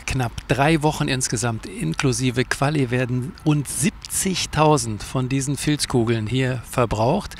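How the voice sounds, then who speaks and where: A man presenting a tennis web show on location, chatting casually and colloquially straight to the viewers. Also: mid-range voice. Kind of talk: casual on-location reporting to camera. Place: outdoors at a tennis tournament. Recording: clearly noisy outdoor location sound.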